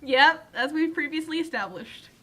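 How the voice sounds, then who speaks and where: High, bright-voiced woman commenting. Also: animated, moderate pace. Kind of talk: casual reactive commentary.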